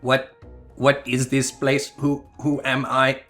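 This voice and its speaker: A man's voice: medium pitch, completely flat delivery, slow pace, fairly bright tone, soft background music. Man playing a hesitant, lost little boy. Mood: confused. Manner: hesitant, repeating words. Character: confused amnesiac small young boy, timid and lost.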